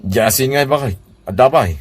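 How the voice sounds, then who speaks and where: A man's voice: medium pitch, normal speed, a little hiss or hum, natural voice. Grumbling Ilocano village husband played by a man. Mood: placating. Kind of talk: Ilocano radio comedy-drama acting.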